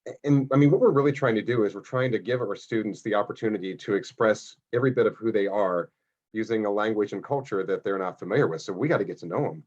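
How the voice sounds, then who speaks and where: A man hosts a casual panel, talking quickly in a husky voice and rambling eagerly from one thought to the next. He often restarts his sentences. The sound is dull and lo-fi, like an online call. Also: fairly low pitch, darkish tone. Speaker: panel moderator.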